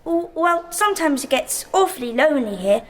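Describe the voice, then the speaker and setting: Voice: sits high, very animated, a little fast, a little hiss or hum. An actor playing a timid, lonely little English schoolboy, hesitant and polite, in a radio drama.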